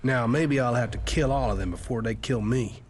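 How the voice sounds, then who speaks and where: A man's voice: mid-range voice, moderate pace, lightly acted. A man playing a betrayed outlaw in a video game, sardonic and rueful. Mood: bitter, wry. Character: wry, betrayed outlaw rogue.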